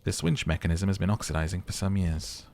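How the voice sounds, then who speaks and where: Man, very low pitch, creaky gravelly tone, game playthrough host, casual commentary with dramatic read-aloud narration. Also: fast, darkish tone, a little hiss or hum. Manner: thinks aloud, muttering and humming to himself.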